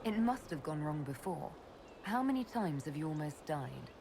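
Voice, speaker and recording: An actress playing a composed, inquisitive heroine and leader in a video game, measured and direct. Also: female voice, low voice, very animated, vocal fry, full character voice. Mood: skeptical. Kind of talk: video game dialogue acting. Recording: faint background noise.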